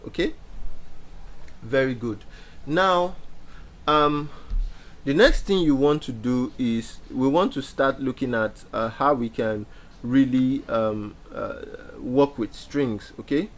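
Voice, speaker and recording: A man's voice: medium pitch, slow pace. Young man teaching code, patient and repetitive. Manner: explanatory, repeats key points with many fillers. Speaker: programming instructor. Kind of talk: screen-share coding tutorial.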